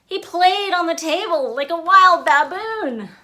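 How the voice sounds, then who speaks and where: A woman with a high, bright voice reads a children's picture book aloud. Her pitch swings in a lively way, and she gives the rhymes and sound words expressive emphasis. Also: a little slow. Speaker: author and illustrator reading own book.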